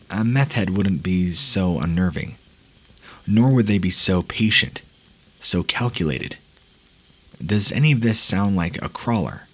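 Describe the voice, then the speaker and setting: A man reading listener-submitted scary stories aloud in a measured, ominous storytelling manner. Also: low voice, fairly bright tone, slightly rough. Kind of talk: scripted horror narration.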